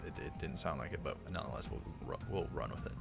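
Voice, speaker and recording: A man's voice: a bass voice, quick pace, vocal fry. A man reading a visual novel aloud as a let's player, adding hesitant, rambling casual commentary. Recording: game music behind. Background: game music.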